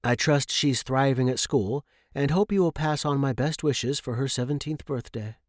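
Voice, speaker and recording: Stubborn young Victorian gentleman scholar played by a male narrator, formal and firm, storybook letter, dry close sound. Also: medium pitch, moderate pace, dry recording, close to an everyday voice. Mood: fond. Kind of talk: dramatic letter reading.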